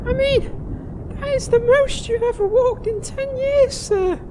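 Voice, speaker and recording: A woman's voice: very high-pitched, slow pace. A woman voicing a young, dutiful squire boy, hesitant and deferential, in a comedy fantasy cartoon. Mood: weary. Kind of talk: comedic animated fantasy voice acting. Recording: noisy outdoor-like ambience behind voice.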